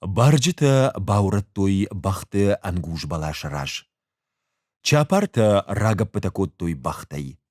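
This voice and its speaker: A man with an Ossetian accent reads a story as an audiobook, measured and expressive in his delivery. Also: low voice, dry recording, studio quality. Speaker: actor reading a short story. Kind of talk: audiobook narration with acted dialogue.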